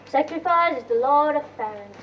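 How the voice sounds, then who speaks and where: A woman's voice: high voice, lively intonation, normal speed. A young woman playing a grumpy, sly old father in an amateur stage farce. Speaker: student actor. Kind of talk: amateur stage play acting. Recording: distant mic, muffled, noisy room.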